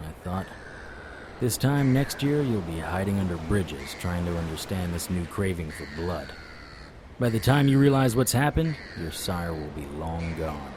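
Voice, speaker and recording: A man with a very deep voice tells a hard-boiled story in the first person, wry and world-weary. There is plenty of dry sarcasm in his delivery. Clear noise hums behind the recording. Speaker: narrator of an audio drama, a vampire hunter. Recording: audible background noise.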